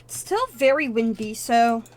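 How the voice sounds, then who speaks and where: Slow-talking woman streamer, native Russian. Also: on the high side, expressive. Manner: thinking aloud, wandering off on tangents. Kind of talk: casual live-stream gaming commentary.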